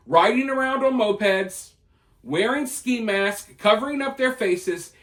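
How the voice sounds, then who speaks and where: An American man with a notably high voice talks straight to his viewers as an online commentator. His delivery is conversational yet emphatic, leaning on rhetorical turns as he argues his opinion. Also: fairly bright tone.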